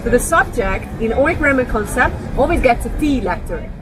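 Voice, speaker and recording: High-voiced Hungarian woman guiding outdoors. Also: animated, normal speed, over music, poor audio. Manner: playful, teasing, theatrical. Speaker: walking tour guide. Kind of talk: informal guided tour talk and language teaching. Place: outdoors on a city street. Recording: loud street and traffic noise. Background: traffic and urban street noise.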